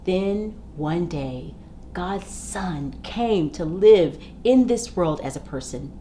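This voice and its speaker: Female storybook reader for young children: expressive and emphatic, highly varied intonation, measured pace. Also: slight background noise. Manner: emphatic, dramatic phrasing in simple sentences for children.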